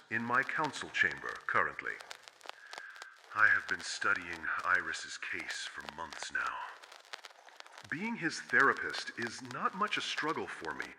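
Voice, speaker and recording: A man playing a brooding, formal otherworldly therapist recording measured, clinical case notes in an analog horror audio drama. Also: lowish voice. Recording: noisy, clicky, radio-like recording.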